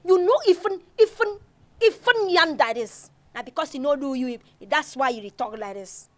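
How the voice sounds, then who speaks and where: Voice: sits high, expressive, moderate pace. An actor playing an aggrieved young hothead with a Nigerian accent, indignant and tripping over repeated words. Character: aggrieved hot-headed young schemer, ranting about revenge. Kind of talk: acted Nigerian Pidgin comedy dialogue.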